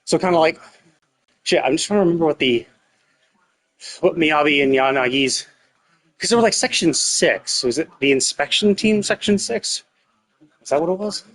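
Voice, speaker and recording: A man doing casual live game stream commentary, rambling and thinking out loud with casual swearing. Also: a little high, moderate pace, long pauses, low-quality recording. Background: faint game music.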